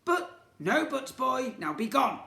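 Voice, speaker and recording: A man's voice: extremely high pitch, sing-song, slow pace, gravelly, pushed and bright, reverberant, pitched above the performer's own voice, exaggerated voice. A man voicing sly, evil old toy shopkeepers in a children's story, with a sneering, bickering manner. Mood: snarling. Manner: sneering, bickering, mock innocent.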